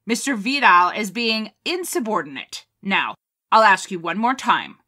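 A woman's voice: medium pitch, big pitch swings, unhurried, pushed and bright, full character voice. A woman playing an honourable military colonel in an audio drama, speaking with formal, firm authority. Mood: stern, commanding.

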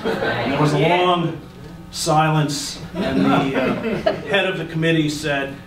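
Wry, measured man on a theater stage. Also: on the high side, a loud crowd. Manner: wry, measured, dry humor. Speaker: guest speaker. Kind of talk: storytelling speech on stage. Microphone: handheld mic. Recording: echoey hall, mic heard from far.

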